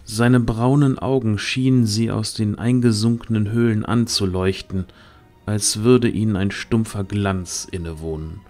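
Guy reading fantasy story narration, kinda deep voice, bit of music under it. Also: fairly even intonation, a little slow, a little mellow. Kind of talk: scripted storytelling narration of fantasy audio drama.

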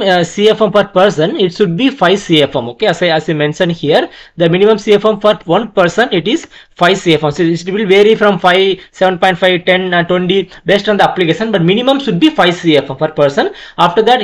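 Man explaining HVAC design, halting and repetitive. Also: high voice. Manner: explanatory, many fillers and restarts, repeats "okay". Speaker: HVAC trainer. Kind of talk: screen-share technical lesson. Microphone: voice-over on screen recording.

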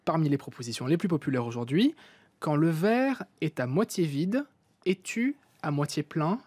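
A man's voice: a little high, lively intonation, normal speed, a little mellow, husky, no echo. A young man with a French accent presenting a light social media news segment on the radio, in a studio. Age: young adult. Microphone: studio mic with headset monitoring.

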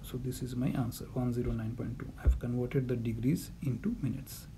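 Methodical male navigation tutor, dark gravelly voice. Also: mid-range voice, fairly even intonation, fairly quick, a little hiss or hum. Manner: methodical, repeats warnings, frequent uh fillers. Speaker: navigation instructor. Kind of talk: explanatory lesson over handwritten notes. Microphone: voice-over.

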